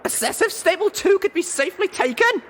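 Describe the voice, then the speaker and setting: A man voicing a small, fiery young mare heroine, excitable and indignant, in shrill outbursts. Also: a little fast, slight background noise.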